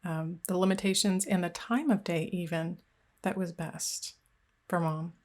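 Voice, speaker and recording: Woman podcast host, soft-spoken and affirming, lowish voice, shares caregiving experience. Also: fairly even intonation, measured pace. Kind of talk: conversational interview.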